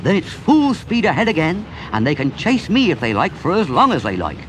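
A man's voice: a little high, wildly swooping pitch, brisk, a little hiss or hum, poor audio. A man voicing a kindly but stern middle-aged engine driver, bluff and hearty. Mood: urgent.

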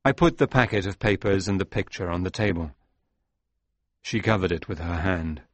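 A man playing a sombre, reflective sailor recounting his past in a dramatised audiobook, speaking in a measured, grave way. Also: low-pitched, no echo.

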